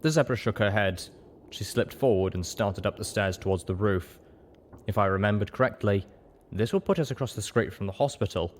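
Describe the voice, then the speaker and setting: A man's voice: fairly low pitch, brisk, slight background noise. A man reading scripted first-person story narration as an audiobook narrator.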